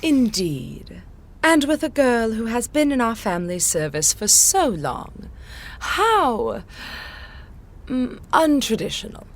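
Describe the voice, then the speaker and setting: A haughty royal lady in an audio drama. She speaks very slowly in a highish voice, with cool, polite disapproval and snobbish disdain. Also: big pitch swings, exaggerated voice. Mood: icy disdain.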